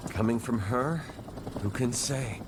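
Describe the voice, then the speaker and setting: A man voicing a brooding, proud, self-critical ninja detective in an animated audio drama. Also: medium pitch, slow pace, background noise, close to an everyday voice. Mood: dejected, sardonic.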